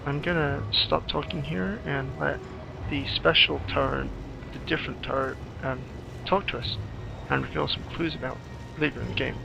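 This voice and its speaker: A man's voice: on the high side, fairly even intonation, moderate pace, creaky, background noise. A man giving casual, chatty playthrough commentary on a video game, joking back at it as he plays. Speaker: gamer, commentator. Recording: game music and sound behind voice. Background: video game music and effects.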